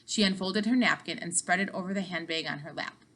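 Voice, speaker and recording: A woman narrating a children's book aloud with animated, dramatic emphasis. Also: fairly low pitch, fairly quick. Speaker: read-aloud storyteller.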